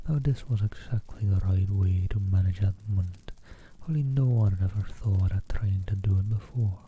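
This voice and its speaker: Soft whispery man reading stories. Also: deep voice, normal speed. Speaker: streamer reading aloud. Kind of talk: ASMR story reading. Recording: tinny phone-like line, faint hiss.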